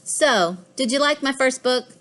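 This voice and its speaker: A woman voicing a boastful, fussy pet house cat in a children's storybook, with expressive, deliberate delivery. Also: sits high, slight background noise. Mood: friendly sign-off. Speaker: author reading own children's book. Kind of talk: scripted children's storybook narration.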